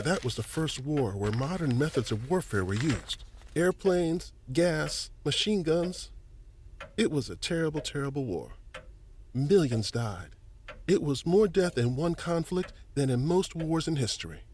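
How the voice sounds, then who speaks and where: Animated, high-voiced folksy museum volunteer. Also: male voice, a little hiss or hum. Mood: musing, explanatory. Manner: chatty, explanatory, avuncular. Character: friendly, folksy older male museum volunteer and newspaper editor. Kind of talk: family audio drama acting.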